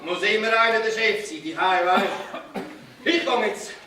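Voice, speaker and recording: An actor playing a sleepy, henpecked forest robber in children's musical theatre, complaining in a whiny, exaggerated way. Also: male voice, sits high, moderate pace, a crowd in the background, close to an everyday voice. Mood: grumbling. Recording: distant echoey live hall, background noise.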